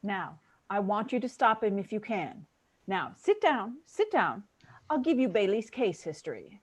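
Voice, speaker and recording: A senior angel in charge played by a woman in an old-time radio drama. She instructs and tells stories with kindly authority, in a mid-pitched voice. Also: sing-song, unhurried. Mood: authoritative, kindly. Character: female superintendent of angels, brisk kindly authority. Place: remote video call recording.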